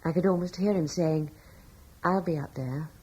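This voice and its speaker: A gentle, devout Roman-era wife. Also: female voice, deep voice, normal speed, breathy edge. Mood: earnest. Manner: soft, earnest, quietly faithful. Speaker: wife in a radio play. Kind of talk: 1950s-60s radio drama acting. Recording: dull old radio broadcast.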